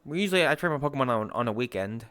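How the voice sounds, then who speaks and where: A man's voice: medium pitch, lively intonation, very fast, fairly bright tone, no room sound. A young man chatting casually in improvised online roleplay. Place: online virtual-reality chat.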